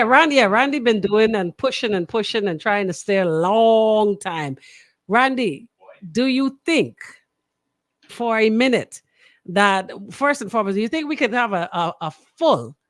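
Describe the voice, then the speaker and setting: A woman with a Bahamian accent hosting a casual radio talk show, animated, opinionated and quick to question. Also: mid-range voice, no room sound, studio quality. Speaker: radio host.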